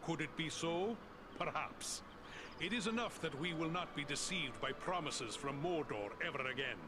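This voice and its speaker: A man's voice: a little high, lively intonation, normal speed, background noise, natural voice. Man acting a proud, earnest dwarf warrior. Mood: grave, resolute. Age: older. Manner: earnest, formal, archaic speech. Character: proud, loyal male dwarf warrior of noble kin. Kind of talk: video game cutscene dialogue.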